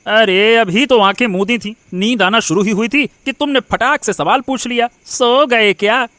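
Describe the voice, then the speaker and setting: A man's voice: extremely high pitch, normal speed, sharp tone, dry recording. A man voicing a greedy, cruel village shopkeeper and husband in a cartoon story, scolding and threatening. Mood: irritated. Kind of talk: Hindi moral-story cartoon dialogue.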